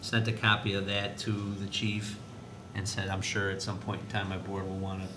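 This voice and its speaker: A man speaking as an administrator at a school board meeting, explaining in a halting way with restarts. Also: little pitch movement. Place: indoor board meeting room. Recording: noisy, echoey meeting room with low rumble.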